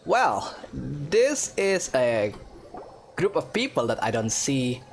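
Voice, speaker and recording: A woman giving relaxed, chatty commentary while playing a game, explaining with giggles. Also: rumbling low, slow pace, creaky. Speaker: gameplay commentator. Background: game music.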